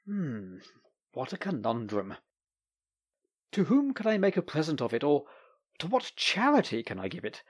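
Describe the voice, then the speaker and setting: A man voicing a fussy middle-aged male doctor in a storybook reading, speaking emphatically with a slightly husky voice. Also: fairly high pitch, wildly swooping pitch, moderate pace, pauses often, heavily acted. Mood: pondering. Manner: emphatic, indignant.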